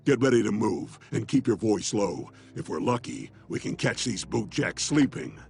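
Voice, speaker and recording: An actor playing a gruff veteran beast-warrior commander in a video game, gravelly and blunt as he gives orders. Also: lowish voice, animated, normal speed, darkish tone, soft background music. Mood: gruff, wry. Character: gruff veteran charr war master, brusque and commanding.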